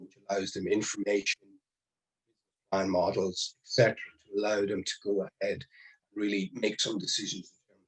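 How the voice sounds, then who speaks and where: A man giving an earnest online conference presentation, with halting restarts. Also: on the low side, slightly rough, poor audio. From Ireland. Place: home or office on a video call. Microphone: video call mic.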